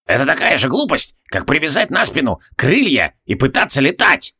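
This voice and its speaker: A man voicing a frantic, cranky old mad alchemist in a fantasy audiobook, husky and barking, repeating himself excitedly. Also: medium pitch, brisk, voice pushed up, exaggerated voice. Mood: indignant.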